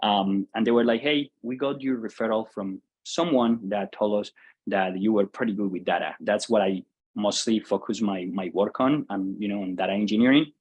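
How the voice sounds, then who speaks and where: A man's voice: fairly low pitch, normal speed, a little mellow, breathy edge, lo-fi sound. A man in his thirties from Colombia with a Colombian Spanish accent, answering interview questions casually with many fillers and restarts. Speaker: guest, software entrepreneur.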